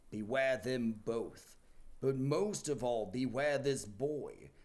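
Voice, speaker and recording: A man voicing a solemn, ageing giant Christmas spirit in an audio play, speaking gravely and with slow, declamatory weight. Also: medium pitch.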